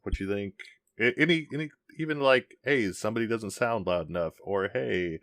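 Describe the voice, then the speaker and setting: Low-voiced bright podcast co-host, rambling. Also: male voice, normal speed, no room sound, clean studio sound. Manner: rambling, many ums and restarts. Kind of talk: casual chat.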